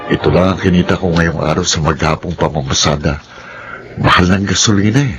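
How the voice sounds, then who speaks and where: A man's voice: low-pitched, a little fast, dull audio, over music. An actor playing a remorseful, struggling driver and father in a radio drama, apologetic and weary. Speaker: narrator and lead.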